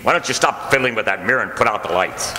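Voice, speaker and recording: A man's voice: on the low side, animated, quick pace, bright, forceful tone, slight background noise. A man playing a crabby, sleepy, henpecked husband in old-time radio comedy, grumbling and stammering in an echoey hall. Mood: grumpy. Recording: echoey hall with long reverb.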